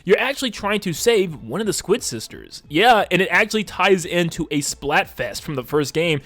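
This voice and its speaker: A man's voice: high voice, sing-song, normal speed, fairly bright tone. A man giving casual, enthusiastic and emphatic video essay commentary as a conversational game reviewer. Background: music under the voice.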